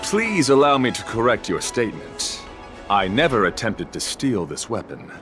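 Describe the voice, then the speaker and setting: A chivalrous video game knight, earnest and high-minded. His speech is courtly and formal, with sweeping rises and falls in pitch, over clearly audible music. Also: big pitch swings, normal speed. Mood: courteous, earnest. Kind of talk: video game character acting.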